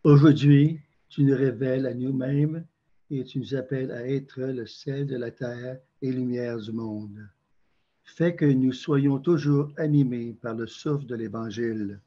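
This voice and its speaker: A man's voice: mid-range voice, steady tone, a little slow, highs cut off. An older man with a Canadian French accent, answering interview questions hesitantly with many fillers and also leading a prayer. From Quebec, Canada. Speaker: interview guest.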